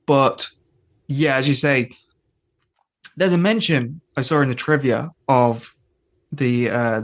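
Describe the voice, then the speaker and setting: A man's voice: medium pitch, a bit bright. A man co-hosting a podcast, talking casually and conversationally in a rambling way about television. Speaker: podcast host. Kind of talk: casual TV episode discussion.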